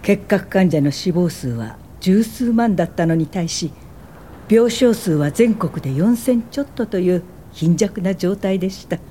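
Kind woman social worker, soft-spoken, mellow tone, radio drama, faint rumble behind. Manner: soft-spoken, caring, polite. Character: earnest, gentle woman medical social worker. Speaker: narrator and lead actress. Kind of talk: radio drama narration and acting.

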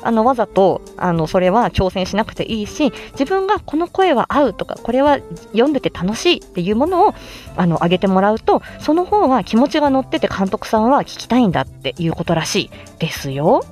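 Female amateur voice actor as livestream host: animated, swooping pitch, friendly tutorial chat, polite and encouraging, music underneath. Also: a little fast. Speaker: amateur voice actor explaining how to make voice samples. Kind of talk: casual explanatory livestream chat. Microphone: smartphone, close.

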